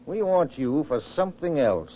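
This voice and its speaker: A man's voice: fairly high pitch, very animated, normal speed. Stern radio policeman, muffled. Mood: stern, triumphant. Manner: firm, accusing. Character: stern, unyielding police officer. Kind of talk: old time radio crime drama acting. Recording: muffled old radio broadcast.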